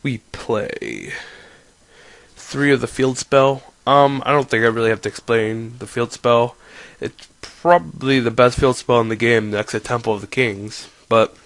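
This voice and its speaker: A man's voice: medium pitch, steady tone, measured pace, a bit bright. A young man with a stuffy nose from a cold, casually explaining his card game deck in a rambling, self-correcting way.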